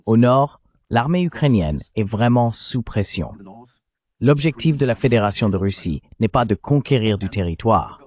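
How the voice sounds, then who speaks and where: A man's voice: on the low side, lively intonation, normal speed, a little mellow. An AI male voice reading a translated voice-over of a journalist giving political analysis as an interview guest. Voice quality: synthetic AI voice.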